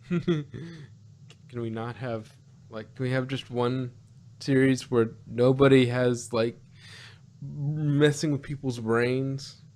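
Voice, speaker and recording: A man in casual conversation as a podcast co-host. His voice sits at an average male pitch. He talks in a halting, rambling way, doubling back on words as he reviews. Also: steady tone, a little mellow.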